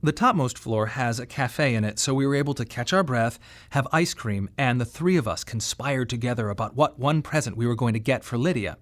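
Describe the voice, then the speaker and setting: An actor playing a grieving father, speaking in a measured, wry radio monologue with pointed pauses. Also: mid-range voice, close to an everyday voice. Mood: reflective. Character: grieving bereaved father, wry, tense and plain-spoken. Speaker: narrator and lead of a monodrama. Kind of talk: dramatic first-person radio monologue.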